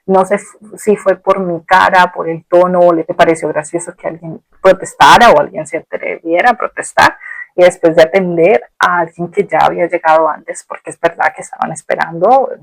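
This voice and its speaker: A woman's voice: fairly low pitch, fairly even intonation, fairly quick, gravelly, no echo, poor audio. Colombian woman telling a personal story, close-sounding. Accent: Colombian Spanish. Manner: reflective, conversational, colloquial. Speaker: podcast host.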